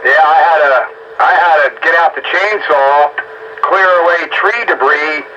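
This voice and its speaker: A man's voice: a little high, measured pace, bright, forceful tone. A man chatting casually in a folksy, colloquial way over a two-way radio transmission. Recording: dull, noisy radio transmission.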